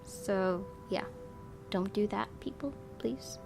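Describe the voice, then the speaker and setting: A woman hosts a gaming video, reading visual novel narration aloud. Her voice has an average pitch, and she slips into casual asides. Game music is clearly audible behind her. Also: slow, darkish tone.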